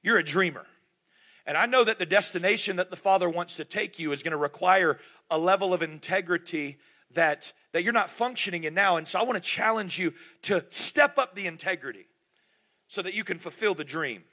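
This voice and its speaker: A man's voice: high voice, expressive, fairly quick, bright, forceful tone. A middle-aged man preaching a sermon in a church, emphatic and repetitive, with rhetorical questions. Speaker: pastor.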